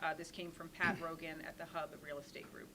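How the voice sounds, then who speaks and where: A woman serving as board secretary, reading a letter into the record in a brisk, businesslike way. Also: sits low, little pitch movement. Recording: noisy meeting room recording.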